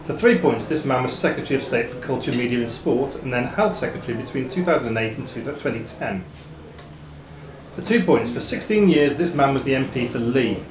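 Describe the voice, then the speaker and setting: A man reading quiz questions to players as quizmaster, in a measured, formal way with dry asides. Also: medium pitch, animated, background noise. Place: pub room during a live quiz. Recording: echoey pub room, chatter behind.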